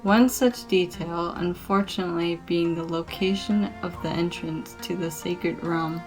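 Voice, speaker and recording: A woman playing a dutiful, grave warrior guide in a fantasy ASMR roleplay, speaking formally and earnestly in measured phrases. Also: lowish voice, steady tone, music in the background, natural voice, rough recording. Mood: solemn.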